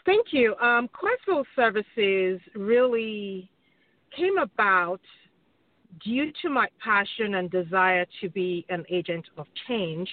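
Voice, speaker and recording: A woman's voice: medium pitch, expressive, slow pace, no echo. Earnest woman over a phone line. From Nigeria. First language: Yoruba. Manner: earnest, careful, with many ums. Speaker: guest, social worker. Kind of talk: interview answers.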